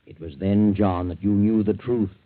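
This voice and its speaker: An actor playing a sardonic, gloating mystery storyteller, narrating knowingly in 1940s radio style. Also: male voice, fairly low pitch, steady tone, moderate pace, lightly acted. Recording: tinny old radio recording. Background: faint music.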